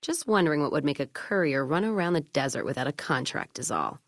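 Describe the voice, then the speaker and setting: An actress playing a hard-drinking, foul-mouthed, bitter caravan trader in a video game, with a gruff, sardonic, blunt manner. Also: female voice, low voice, animated, a little fast, dry recording, studio quality. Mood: wary, dry. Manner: gruff, sardonic, blunt frontier drawl of speech, dropped words. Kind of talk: video game character dialogue.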